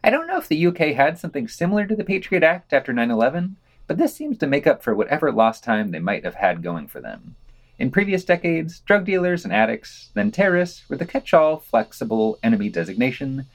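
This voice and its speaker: A man's voice: a little high, sing-song, fairly quick. A man co-hosting a podcast, reading scripted news items and quotations with dry, wry asides.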